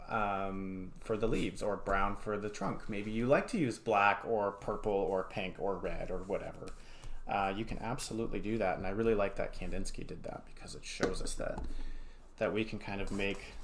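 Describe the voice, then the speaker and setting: A man teaching a casual craft demonstration in an encouraging, easygoing way, murmuring little asides as he works. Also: lowish voice. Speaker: art teacher. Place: home studio. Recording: clear background room noise.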